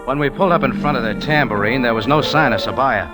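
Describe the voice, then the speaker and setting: A man's voice: mid-range voice, quick pace, lightly acted. A man playing a tough, wry cafe owner and amateur sleuth in an old radio drama, telling it in a clipped, dry, hard-boiled way. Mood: tense, narrating. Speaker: lead actor and first-person narrator in a radio drama. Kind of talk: 1940s-50s hard-boiled radio detective acting. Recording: old radio recording with music behind.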